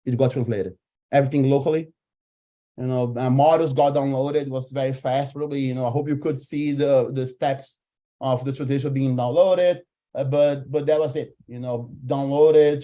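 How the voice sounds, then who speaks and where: A man whose first language is Portuguese gives a casual live software demo, haltingly thinking aloud as he goes. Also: mid-range voice. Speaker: software presenter. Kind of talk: live screen-share demo, casual explanation.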